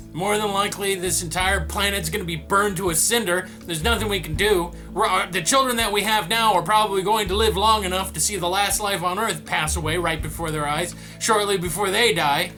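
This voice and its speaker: A man hosting a comedy segment who answers viewer questions in a deadpan, gloomy, rambling way. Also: sits high. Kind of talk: comedic scripted monologue. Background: guitar music.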